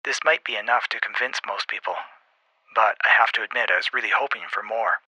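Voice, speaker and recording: A man's voice: on the low side, fairly quick, bright, forceful tone, no echo, low-quality recording. A man voicing a sarcastic junior space explorer in an audio story, dry and sardonic through a helmet radio filter. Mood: doubtful.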